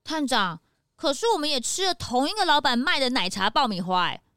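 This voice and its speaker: A woman voicing an earnest, excitable young detective sidekick in a children's audio drama. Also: high voice, brisk, clean tone, no room sound, studio quality. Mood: earnest, curious.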